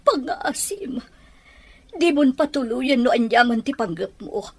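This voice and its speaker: Woman acting worried widowed mother, Filipino accent, husky breathy voice, slightly high pitch, imploring, radio drama. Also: animated, measured pace, darkish tone, no echo. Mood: soothing, pleading. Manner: imploring, emotional. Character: anxious, pleading widowed mother protecting her grown son. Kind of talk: Ilocano radio-style audio drama acting.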